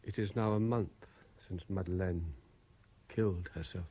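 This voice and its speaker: An actor playing a troubled, guilt-ridden investigator in a 1970s radio drama, with a brooding, hesitant manner. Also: male voice, low-pitched, fairly even intonation, unhurried, warm tone, a little hiss or hum. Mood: brooding, grieving. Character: troubled, haunted male French private investigator, guilt-ridden and weary. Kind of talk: 1970s radio drama acting, with narrated diary passages.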